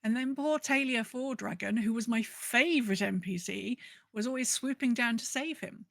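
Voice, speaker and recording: A woman's voice: fairly high pitch, normal speed, creaky, a bit bright, no echo. A woman co-hosting a podcast in a casual, playful, chatty way, sometimes reading out messages. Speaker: podcast co-host. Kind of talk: casual chat.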